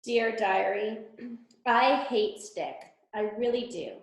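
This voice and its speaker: A woman's voice: a little high, slow. Lady doing a fed up sarcastic stuffed animal from a picture book, roomy echo. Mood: resentful. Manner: sardonic, exasperated. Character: Huggie, a grumpy, long-suffering, sarcastic stuffed toy bear. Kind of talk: children's storybook read-aloud. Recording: echoey room.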